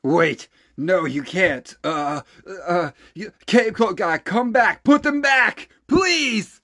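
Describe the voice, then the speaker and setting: A man voicing an anxious, desperate cartoonist in an audio drama, pleading in a strained, husky, halting voice. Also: sits high, lively intonation, sharp tone, dry recording, studio quality, pitched above the performer's own voice, full character voice. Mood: panicked pleading.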